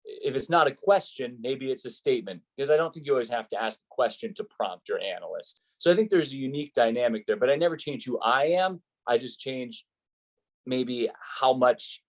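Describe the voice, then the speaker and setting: A man's voice: mid-range voice, rough recording. A man with an ordinary mid-range voice talks as an interviewed play-by-play announcer. He explains things conversationally and earnestly, putting emphasis on the points he cares about. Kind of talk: conversational interview, giving advice.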